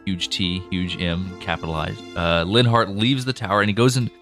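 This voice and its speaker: A man's voice: low voice, fairly even intonation, normal speed, fairly bright tone, soft background music. A man co-hosting a podcast, chatting casually about a story's characters, laughing often and restarting sentences. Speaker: podcast co-host. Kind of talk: casual behind-the-scenes discussion.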